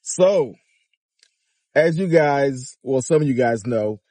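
A man's voice: mid-range voice, slow, dry recording. A man in his fifties from Miami, talking animatedly to viewers from inside a car in a casual commentary vlog. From Miami, Florida. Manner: animated, chatty, addresses viewers directly. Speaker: vlogger. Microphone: phone or dash camera at arm's length.

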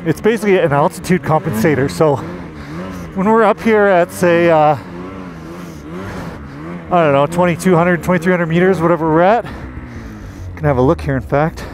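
A man from Canada giving casual, enthusiastic commentary while riding a snowmobile through deep snow, recorded on a helmet camera. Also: normal speed, long pauses. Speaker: magazine editor reviewing a snowmobile. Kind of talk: casual ride-along commentary. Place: outdoors in deep snowy forest on a snowmobile. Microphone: helmet-mounted action camera. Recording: engine and wind noise.

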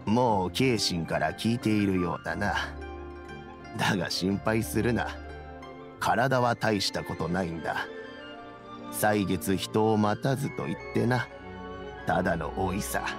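An actor playing a kindly, wise elderly statesman in a video game, speaking in a measured, old-fashioned way. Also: lowish voice, creaky, music in the background. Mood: resigned, reassuring. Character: dignified kindly elderly statesman, grey-haired, calm and wise. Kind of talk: Japanese video game voice acting.

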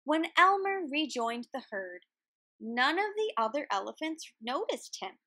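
Bright, high-pitched woman reading a storybook. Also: dry recording. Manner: expressive, dramatic, deliberate enunciation for children. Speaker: read-aloud storyteller, art teacher. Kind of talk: children's picture book read-aloud.

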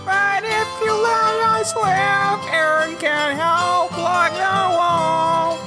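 A woman's voice: extremely high pitch, fairly even intonation, very slow, loud music behind the voice, exaggerated voice, low-quality recording. A woman voicing a timid, earnest young boy soldier in an anime parody. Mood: pleading singsong.

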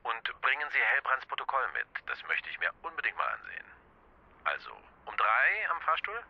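An actor playing a patronising, placating police inspector with a rough, gravelly voice in a radio drama, heard as if by telephone. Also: male voice, mid-range voice, wildly swooping pitch, normal speed, a little hiss or hum. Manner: condescending, placating. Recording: dull, band-limited phone line.

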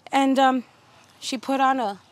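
A woman's voice: sits high, steady tone, unhurried. Chatty woman touring her rose garden. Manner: chatty, many fillers and restarts. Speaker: garden video host. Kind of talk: casual garden tour chat. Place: outdoor home garden.

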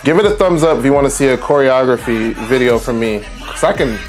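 A man in his thirties hosting a reaction video in a small home room, making relaxed, joking, slangy remarks. He sounds congested from allergies. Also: mid-range voice, fairly quick. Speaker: reaction channel host. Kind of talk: casual reaction commentary. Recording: faint music in the background. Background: faint music from the video he watches.

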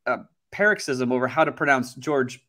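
A man's voice: mid-range voice, moderate pace, pushed and bright. A man guesting on a casual panel chat over a video call, articulate and wry with formal wording.

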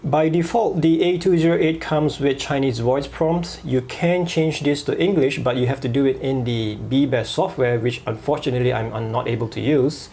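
A man reviewing a walkie-talkie at a desk, pausing often with fillers and restarts. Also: medium pitch, dark tone, slight background noise. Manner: halting, many fillers and restarts. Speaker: gadget reviewer. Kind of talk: product review voice-over.

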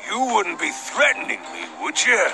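An actor voicing a gruff, greedy market butcher in a video game, with a gravelly voice and a condescending, haggling manner. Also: male voice, sits high, animated, moderate pace, over music, full character voice. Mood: gruff, scornful. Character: gruff, greedy male pork butcher running a market stall. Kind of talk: animated video game acting.

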